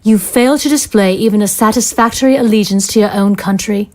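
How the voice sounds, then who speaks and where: A cold, smug female scientist in command, played by an actress in an audio drama. Her voice sits at a middling pitch, and her wording is formal, measured and sardonic. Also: a little mellow, natural voice. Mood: coolly accusing.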